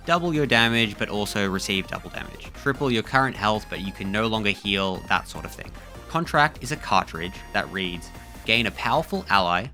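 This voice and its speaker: Bright-voiced man narrating a video essay. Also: fairly low pitch. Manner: measured, reflective, reading from a script.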